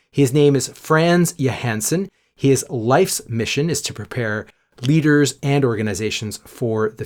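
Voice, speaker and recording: A man hosting a podcast, reading an emphatic, upbeat introduction and then welcoming his guest. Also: medium pitch. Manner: upbeat, emphatic, drawn-out words for stress. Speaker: podcast host. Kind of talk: scripted podcast intro and interview.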